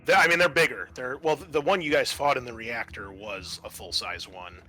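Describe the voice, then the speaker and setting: A man's voice: medium pitch, brisk, pushed and bright. A man narrating a tabletop roleplaying game as game master, explaining things and addressing the players directly. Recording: music underneath the voice.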